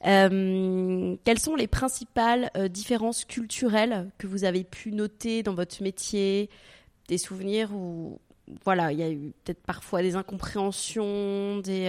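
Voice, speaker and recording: A woman's voice: mid-range voice, a little mellow. A woman interviewing a guest, asking short prompting questions.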